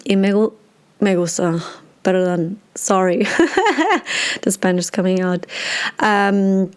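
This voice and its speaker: A young woman tells her own story on her podcast. She talks at an unhurried, slow pace and sometimes trails off into "um" and "yeah" before she picks up the thread again. Her voice sits in an ordinary middle register for a woman. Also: mid-range voice, clean tone. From Germany. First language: German. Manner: relaxed, with many fillers and restarts. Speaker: podcast host. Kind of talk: casual personal storytelling.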